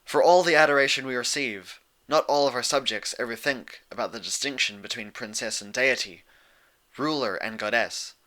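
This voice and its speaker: A man voicing a regal, patient elder-sister pony princess with measured, formal, guarded speech in a storybook reading. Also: medium pitch, bright, forceful tone, close to an everyday voice, rough recording. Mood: reflective. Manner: measured, formal, reflective phrasing.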